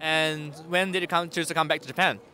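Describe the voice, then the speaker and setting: Bright-voiced man asking street questions. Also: fairly high pitch, fairly even intonation, fairly quick. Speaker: interviewer. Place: outdoors on a busy city street at night.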